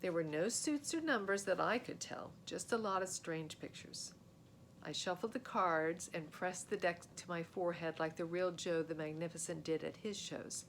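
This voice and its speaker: A woman reading a children's story aloud as a narrator, in an animated storytelling style. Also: normal speed, low-quality recording. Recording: steady noise with low rumble.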